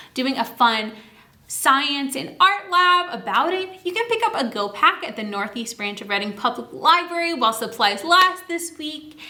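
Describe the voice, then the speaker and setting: A woman hosting story time, reading a picture book to young children in an animated, questioning way. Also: high voice.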